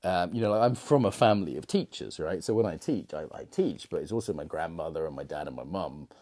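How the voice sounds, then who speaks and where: A man's voice: deep voice, expressive, fairly quick, a little mellow. A middle-aged man coaching on confidence in a rambling, reflective monologue full of fillers and restarts. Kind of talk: casual monologue to camera.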